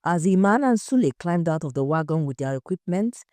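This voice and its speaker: A woman's voice: fairly low pitch, lively intonation, brisk, darkish tone, dry recording. A woman narrating a scripted audio story.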